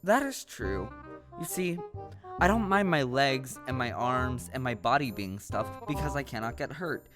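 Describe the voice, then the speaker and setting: Woman as male scarecrow, naive, eager, courteous, low pitch, lively swoops, stage radio play, music behind. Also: unhurried. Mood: earnest, wistful. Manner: exclamatory, earnest, formally polite. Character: friendly naive straw-stuffed male scarecrow, eager and polite.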